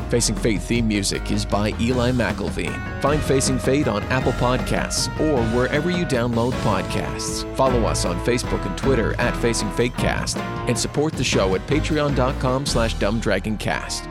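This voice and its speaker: A man reading scripted show credits as an announcer. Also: mid-range voice. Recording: music behind the voice.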